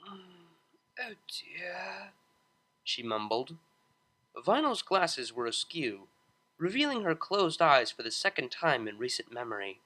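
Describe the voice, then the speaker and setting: A man narrating a piece of fan fiction in an expressive storytelling style. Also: mid-range voice, a little slow. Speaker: audiobook narrator.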